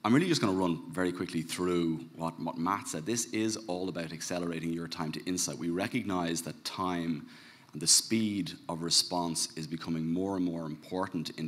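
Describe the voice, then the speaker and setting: A man's voice: deep voice, fairly bright tone. A middle-aged Irish man giving a chatty, upbeat conference talk on a large stage into a handheld mic. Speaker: managing director presenting. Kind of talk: conference presentation. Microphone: handheld microphone.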